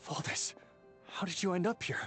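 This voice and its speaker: A man voicing a level-headed, suspicious squad leader in a video game, speaking in a measured, skeptical way. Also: over music, close to an everyday voice.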